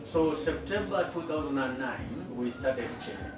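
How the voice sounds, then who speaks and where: A middle-aged man with a Kenyan accent tells an interviewer about founding a school, speaking earnestly and without hurry. He pauses on fillers and restarts his sentences as he goes. His voice sits at an ordinary pitch, heard from some distance in a strongly echoing room with clear background noise. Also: animated. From western Kenya. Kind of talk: interview answers, storytelling. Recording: echoey room, far from the mic, with noticeable background noise.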